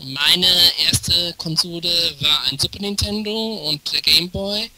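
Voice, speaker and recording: A man's voice: high-pitched, unhurried, vocal fry, sharp tone, rough recording. A man in his thirties co-hosting a casual podcast with a wry, tongue-in-cheek manner over a clicky internet call. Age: 30s. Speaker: podcast co-host. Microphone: online voice call.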